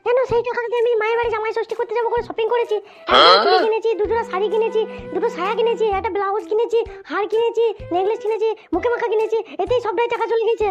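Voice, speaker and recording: A woman voicing a foul-mouthed, nagging young wife in a comedy cartoon, with a West Bengal accent and a pitched-up voice. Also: very fast, hardly any pauses. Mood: defiant. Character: shrill, quarrelsome young wife, defiant and aggrieved. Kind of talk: amateur cartoon comedy squabbling. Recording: dull lo-fi sound, music behind.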